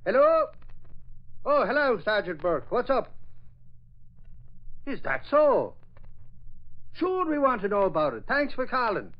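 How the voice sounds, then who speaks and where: A man's voice: very high-pitched, wildly swooping pitch, a little slow, pauses often, heavily acted. An actor playing a bumbling, boastful newspaperman, hemming and blustering in a 1940s radio drama. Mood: self-important. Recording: dull old radio recording.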